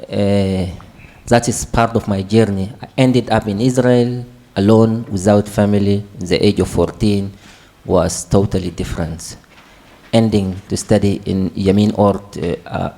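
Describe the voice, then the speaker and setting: A man's voice: fairly low pitch, warm tone. A young man from Darfur introducing himself on a student panel, hesitant with non-native phrasing and fillers. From Darfur, Sudan. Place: conference hall.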